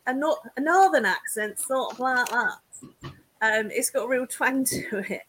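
Giggly southern English woman, high bright voice. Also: moderate pace, a little hiss or hum, poor audio. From England (Kent). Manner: giggly, bubbly, laughs often. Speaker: guest clairvoyant. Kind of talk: casual podcast chat.

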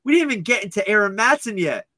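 A man's voice: high voice, sing-song, pushed and bright, dry recording, clean studio sound. A man co-hosting a sports podcast, chatting casually in an upbeat, joking way. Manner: upbeat, joking, quick asides. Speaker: podcast co-host.

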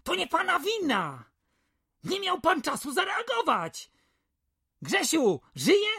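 A woman playing an excitable young village lad in a radio drama, blunt and exclaiming as one of a gang of pals. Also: very high-pitched, slow pace, full character voice. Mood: alarmed.

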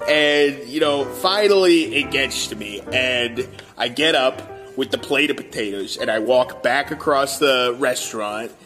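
A man playing an indignant Italian-American Bronx guy, telling his tale haltingly and repetitively in a radio comedy sketch. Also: medium pitch, over music, natural voice. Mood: aggrieved.

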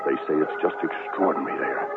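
Tinny radio recording with music, boastful suave reporter, low voice, flirtatious, condescending. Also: male voice, fast. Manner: suave, self-satisfied, condescending. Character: smooth, boastful, flirtatious New York television reporter. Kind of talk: 1970s radio drama acting.

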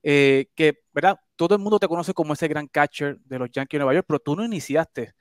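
A man's voice: a little high, a little fast, clear, resonant tone, no room sound, clean studio sound. A man hosting an interview, asking long questions with frequent tag questions. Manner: chatty, long questions with repeated "¿verdad?" tags. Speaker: interviewer, host.

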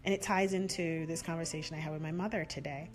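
A woman hosts her own podcast alone, speaking in a lower register than most women. Her delivery is earnest and heartfelt as she reflects and affirms her beliefs. Faint music sits underneath her voice. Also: fairly even intonation, quick pace. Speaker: podcast host. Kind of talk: solo reflective podcast talk.

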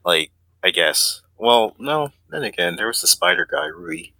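The lively, sharp-voiced guy on a fan podcast who keeps cracking up while debating with his co-hosts, right up on a dry mic. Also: medium pitch, unhurried, creaky, no echo. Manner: jokey, laughs often. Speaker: podcast co-host. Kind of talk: casual fan discussion.

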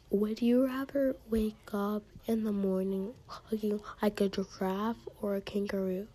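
Slow, mellow-voiced teenage girl vlogger. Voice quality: high-pitched voice. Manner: rambling, drops into soft near-whispers for asides. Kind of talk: casual chat, reading out questions and answering them.

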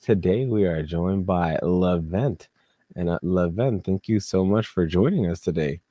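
A man's voice: sits low, unhurried, mellow, dry recording, clean studio sound. A man co-hosting a podcast, interviewing a guest in an upbeat, encouraging way. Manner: upbeat, encouraging, frequent backchannel. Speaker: podcast co-host.

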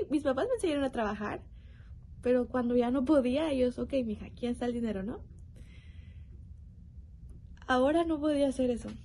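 A young woman from Mexico, sharing an earnest, reflective personal faith testimony with pauses and restarts. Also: a little high, mellow, a little hiss or hum, low-quality recording. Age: young adult. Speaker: youth program speaker.

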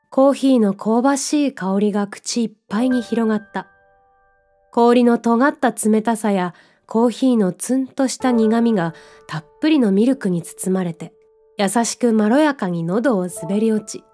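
A gentle young narrator of an audio drama, voiced by a woman. The tone is rounded and mellow, the telling soft and reflective, with quiet music underneath. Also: fairly high pitch, moderate pace, close to an everyday voice. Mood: reflective, tender. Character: gentle, dreamy young narrator visited by a cat. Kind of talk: scripted radio drama narration.

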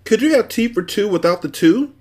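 A man voicing a pompous, excitable storybook creature with many faces, speaking in a precise, scolding, emphatic manner. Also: mid-range voice, brisk, pitched above the performer's own voice, close to an everyday voice. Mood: indignant, furious.

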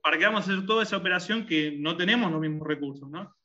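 Rioplatense male guest, bright, slightly high voice, halting, lots of fillers, dull lo-fi sound. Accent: Rioplatense Spanish. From Argentina and Uruguay. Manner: hesitant, many fillers and restarts. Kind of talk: conversational interview.

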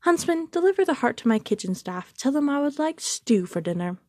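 A woman's voice: high-pitched, very animated, brisk, a little mellow, dry recording, clean studio sound, voice pushed up. A woman voicing a cold, cruel adult sorceress queen who gives orders in a haughty, mocking way. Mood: imperious.

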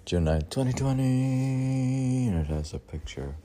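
A man reading his own poems informally, meandering and halting, with musing asides and rhetorical questions. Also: medium pitch, expressive, mellow. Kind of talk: informal poetry reading with spontaneous commentary.

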